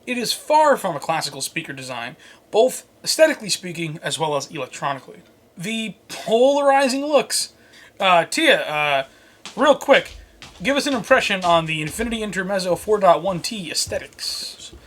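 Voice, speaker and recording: A man with a fairly high-pitched voice hosts a product review. He moves between prepared script and off-the-cuff banter, in a conversational, jokey way. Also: big pitch swings, normal speed, fairly bright tone. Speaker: host and reviewer.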